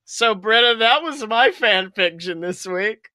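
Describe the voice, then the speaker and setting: A man hosting a podcast with playful, excitable comic chat and story reading. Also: high voice, a little slow, dry recording, soft background music. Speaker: podcast host.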